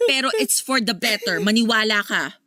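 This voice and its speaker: A woman with a Filipino accent playing a protective, easily scandalized confidante, expressive and emphatic, in an audio drama. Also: on the high side, normal speed, over music, lightly acted. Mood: consoling.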